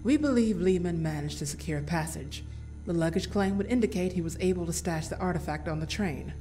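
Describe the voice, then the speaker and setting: A woman as composed female director, low-pitched, mellow, measured, grave, formal, cartoon comic dub, faint music. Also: lightly acted. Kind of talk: scripted character acting.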